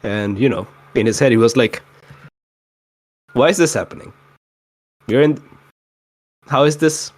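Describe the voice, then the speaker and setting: A man's voice: medium pitch, a little slow, long pauses, dry recording, poor audio. A man casually recapping a tabletop role-playing session as a player, with dry, mock-exasperated asides.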